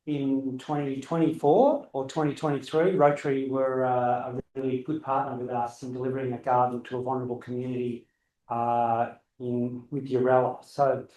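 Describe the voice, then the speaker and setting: A middle-aged man with a booming voice, talking loosely to a club as guest speaker and often correcting himself. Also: level tone, moderate pace, dark tone, a little hiss or hum. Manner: loose, rambling, self-correcting. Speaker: guest speaker, landscape architect. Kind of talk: informal talk to a club. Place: meeting room. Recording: roomy echo, distant camera.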